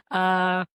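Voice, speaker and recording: A woman's voice: fairly low pitch, a bit bright, clean tone, dry recording, clean studio sound. A woman novelist chatting casually as an interview guest, hesitant and self-effacing, with a giggly manner.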